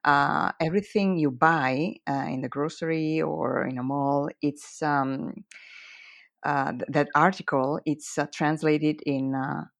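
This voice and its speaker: A woman with an Italian accent, a native Italian speaker, chatting as a podcast guest and often pausing to search for words. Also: low-pitched, steady tone, vocal fry, no echo. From Italian-speaking Switzerland. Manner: hesitant, searching for words, frequent fillers and laughs. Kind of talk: casual conversation.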